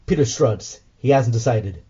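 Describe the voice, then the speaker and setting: A man's voice: medium pitch, expressive, moderate pace, warm tone, slightly rough, muffled recording. A man reading scripted audio drama narration as the host.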